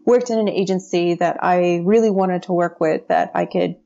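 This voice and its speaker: An American woman chats easily as a podcast guest, sprinkling in fillers and little laughs. Her voice sits low with a gravelly, fry-heavy edge. The audio is dull and lo-fi. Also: moderate pace. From United States, Pennsylvania. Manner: relaxed, chatty, many fillers and laughs. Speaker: podcast guest, founder of a strategists' community. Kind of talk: casual interview chat.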